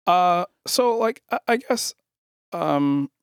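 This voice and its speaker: A man's voice: high-pitched, lively intonation, smooth, dry recording, studio quality. Laid-back man with a Chicago accent. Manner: halting, laughing easily. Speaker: podcast co-host. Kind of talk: casual chat.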